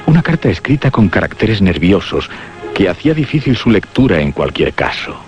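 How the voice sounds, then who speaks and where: A man's voice: sits low, big pitch swings, normal speed. A man narrating a scripted horror radio drama. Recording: dull lo-fi audio under music. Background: prominent music.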